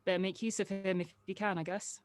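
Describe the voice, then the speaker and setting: A woman's voice: medium pitch, little pitch movement, quick pace, slight background noise, natural voice. A woman acting a wry, guarded adult who humours a child in a casual, teasing way at a script read. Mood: wistful, guarded. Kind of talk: acted script table read.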